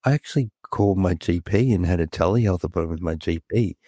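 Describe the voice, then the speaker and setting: A man's voice: low voice, quick pace, warm tone, slightly rough, no room sound, studio quality. A man co-hosting a podcast, snuffly with a head cold, jovial and self-mocking. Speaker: podcast co-host. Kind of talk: casual chat.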